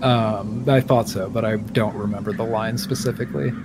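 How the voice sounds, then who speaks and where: A man's voice: fairly low pitch, steady tone, normal speed, background noise. A man narrating a tabletop roleplaying game as game master, recapping events and setting scenes.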